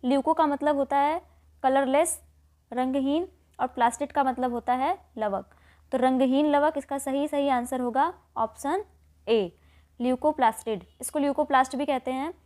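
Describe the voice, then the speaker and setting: A woman's voice: high voice, steady tone, normal speed, a little mellow. A woman with an Indian accent teaching an exam lesson, explaining questions and answers and often rephrasing key points.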